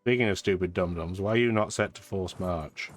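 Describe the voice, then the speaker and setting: A man's voice: low-pitched, fairly quick. A man with a stuffy, bunged-up voice giving casual, dry, chatty commentary as he plays a strategy game. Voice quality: congested, stuffy nose. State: lingering sore throat, blocked sinuses. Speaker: gaming video host. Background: background music.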